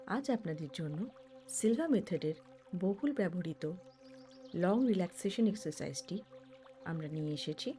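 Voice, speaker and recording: A woman leading a guided meditation as an instructor, speaking in measured short phrases with pauses. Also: on the low side. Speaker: certified meditation instructor and mind trainer. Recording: background music under the voice. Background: music.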